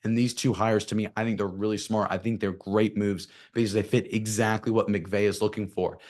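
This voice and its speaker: A hoarse man co-hosting a football show, giving emphatic opinions and repeating his key points. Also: lowish voice, little pitch movement, fairly quick, darkish tone. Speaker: sports show co-host. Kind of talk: opinionated commentary.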